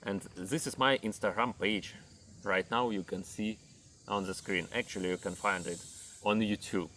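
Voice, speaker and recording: A man in his thirties from Ukraine with a Ukrainian accent, speaking English as a second language, giving a measured fitness lesson to camera and listing items one by one. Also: lowish voice, steady tone, fairly bright tone, a little hiss or hum. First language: not English. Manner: measured, lists items one by one, non-native grammar. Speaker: fitness coach. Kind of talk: slow explanatory talk to camera.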